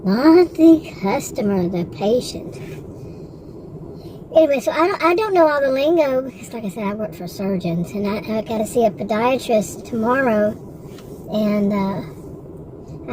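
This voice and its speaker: A woman's voice: fairly high pitch, mellow, a little hiss or hum, low-quality recording. A woman in her sixties in a rambling, frustrated casual monologue, with many restarts and asides. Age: 60s. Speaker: vlogger.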